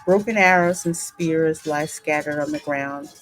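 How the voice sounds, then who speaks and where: Female game host and narrator: deep register, measured pace, dramatic storytelling delivery with conversational asides. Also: faint music behind, poor audio. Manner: deliberate, dramatic reading. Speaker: gaming channel host and narrator.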